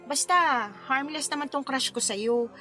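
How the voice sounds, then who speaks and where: A flirty, bashful wedding planner in her forties for a radio drama. Her Filipino-accented voice sits high and banters playfully. Music runs under the dialogue. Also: unhurried, sharp tone. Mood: flustered, coy. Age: 40s. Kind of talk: conversational radio drama acting.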